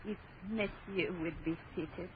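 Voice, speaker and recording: An actress playing a quiet old French hostess with a French accent, slow, hushed and formal, in old radio horror. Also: female voice, fairly low pitch. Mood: eerily polite. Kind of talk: 1930s radio horror acting. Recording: muffled, noisy old radio recording.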